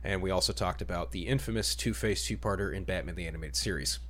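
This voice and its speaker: Male podcast host, lower-pitched voice, casual chat delivery. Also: quick pace, a bit bright, a little hiss or hum. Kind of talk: casual chat about superhero films.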